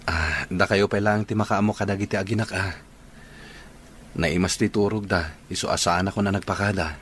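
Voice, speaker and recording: A low-pitched actor as a devoted young father in a radio drama. He sounds weary yet respectful and earnest, a man with a sick child to care for. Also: fairly even intonation, normal speed, fairly bright tone, a little hiss or hum. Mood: respectful, subdued.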